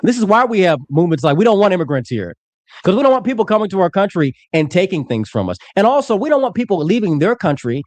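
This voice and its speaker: An American man in a heated debate, talking fast with a lively, swinging pitch. He lectures and hammers his points home with blunt insults. The sound is dry and close. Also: no room sound. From United States.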